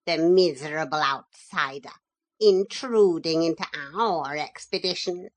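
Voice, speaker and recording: A woman's voice: low voice, a little slow. A woman voicing a proud, courteous male parrot who talks in a polite, formal way while making cool threats.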